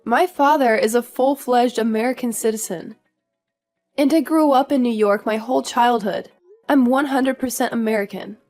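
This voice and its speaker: A woman's voice: a little high, normal speed, a little mellow, faint music behind, close to an everyday voice. A woman playing an earnest young daughter-in-law who is polite but firm, in a scripted audio story. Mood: indignant. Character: earnest, indignant young daughter-in-law standing her ground.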